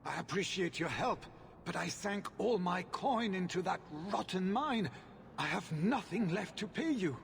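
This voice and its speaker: Slow, creaky, high-voiced ruined businessman. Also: animated, long reverb tail, a little hiss or hum, heavily acted. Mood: anguished lament. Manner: melodramatic lamenting. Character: distraught greedy male mine owner, melodramatic and self-pitying. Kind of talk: video game dialogue acting.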